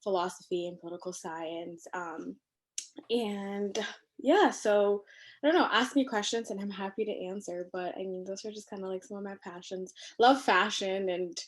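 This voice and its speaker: A woman's voice: medium pitch, moderate pace. Chatty young woman from Ethiopia. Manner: chatty, animated, lots of fillers and laughter. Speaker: podcast guest. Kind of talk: casual conversation. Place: living room at home.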